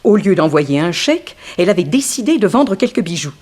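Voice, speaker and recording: An actress playing a frank, wry young dancer in an early 1960s French radio mystery, theatrical and gossipy. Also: female voice, on the low side, very animated, fairly quick, a little mellow. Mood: matter-of-fact.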